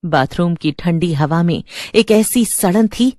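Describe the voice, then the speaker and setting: Low-voiced woman narrating horror. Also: lively intonation, measured pace, darkish tone, no echo, studio quality. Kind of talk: scripted dramatic horror narration.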